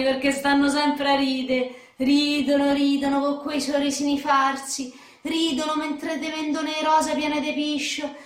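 An actress playing a foul-mouthed, aggressive young Roman woman from the rough outskirts in a radio play, brash and slangy with sing-song taunts. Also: sits high, a bit bright. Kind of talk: radio theatre acting. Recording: roomy, echoing sound.

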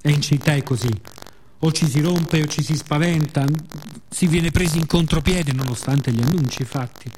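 Mellow male theatrical monologue reader. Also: on the high side, normal speed. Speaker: monologue performer. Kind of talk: poetic, theatrical radio monologue. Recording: faint ambient background noise.

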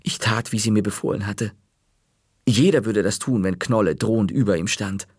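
A man narrating a children's story in an expressive, unhurried way. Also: on the low side, husky, no room sound, studio quality.

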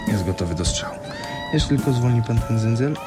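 A man's voice: medium pitch, expressive, brisk, loud music behind the voice, lightly acted. A man playing an ordinary, obliging funfair shooting-gallery attendant in a naturalistic radio drama, chatty and a little hesitant. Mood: polite, obliging.

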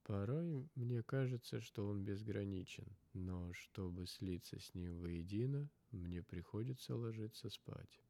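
A man voicing a reflective, philosophical man in a story, speaking in a measured, contemplative way. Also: lowish voice, gravelly, dark tone. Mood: musing. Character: reflective, philosophical man musing on inner worlds.

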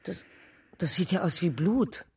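Husky, suspicious, alarmed wife. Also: female voice, lowish voice, lively intonation, unhurried, a little mellow, far from the mic, full character voice. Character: suspicious, alarmed wife questioning her husband. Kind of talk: radio drama acting.

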